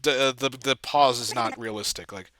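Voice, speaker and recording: A man giving a casual walkthrough as an amateur video editor, thinking aloud haltingly and trailing off. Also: mid-range voice, pushed and bright. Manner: halting, trailing off mid-thought.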